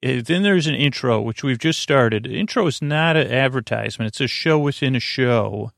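A man with a creaky voice hosting a sleep podcast in a soothing, meandering monologue full of halting starts and tangents. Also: mid-range voice, expressive, a bit bright, no echo, studio quality. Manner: halting, digressive, many false starts and filler words, soothing.

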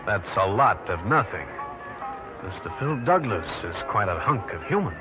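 An actor playing a tough, wisecracking private detective in an old-time radio drama, brusque, slangy and sardonic. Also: male voice, on the low side, very animated, moderate pace, music in the background. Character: tough, wisecracking New York private detective, brash and hard-boiled. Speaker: lead actor and narrator. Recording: noisy, muffled old radio recording.

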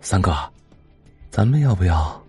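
A man's voice: deep voice, big pitch swings, unhurried, warm tone, husky, soft background music, exaggerated voice. A man playing a brash, easily amazed young sidekick in an audio drama, talking colloquially. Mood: eager. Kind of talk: Chinese audio drama dialogue.

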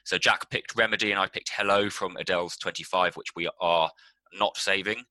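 A man's voice: sits low, fairly even intonation, a little fast, bright, forceful tone, dry recording. A man co-hosting a casual music podcast, rambling and swearing with lots of ums. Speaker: podcast co-host.

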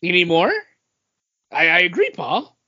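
A man with a fairly high voice talks casually as a podcast co-host, his pitch swinging in a very lively way. The recording is slightly dull and lo-fi. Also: unhurried, husky. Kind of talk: casual chat.

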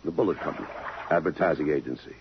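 A tough police lieutenant with a low voice, firing off blunt, dry questions in slangy style. It is old radio drama, muffled and hissy. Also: male voice, quick pace, creaky. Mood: matter-of-fact. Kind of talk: 1950s radio drama acting.

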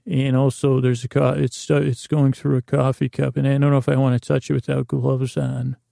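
Male podcast host, very creaky and gravelly, dryly recorded; meandering, halting bedtime rambler. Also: mid-range voice, flat delivery, warm tone, dry recording, clean studio sound. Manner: meandering, halting, trails off and restarts mid-sentence; voices imagined inner dialogue. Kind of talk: rambling comedic bedtime monologue.

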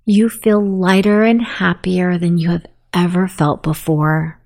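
Slow, creaky-voiced woman guiding sleep meditation. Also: lowish voice, flat delivery. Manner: soothing, unhurried, softly spoken. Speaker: guided meditation host.

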